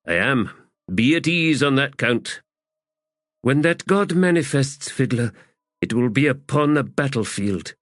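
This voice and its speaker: Guy doing a grave portentous soldier, declaiming slowly, raised pitch, fantasy tale. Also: lively intonation, dry recording, studio quality, natural voice. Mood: solemn.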